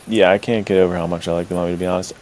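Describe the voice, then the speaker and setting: A man chatting casually as a podcast co-host, gushing and effusive like an excited fan. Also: low-pitched, very fast. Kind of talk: casual chat.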